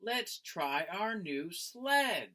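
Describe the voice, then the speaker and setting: A man voicing a kindly, easygoing male guardian in a children's storybook, with gentle drawn-out delivery. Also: sits high, sing-song, pitched above the performer's own voice, heavily acted.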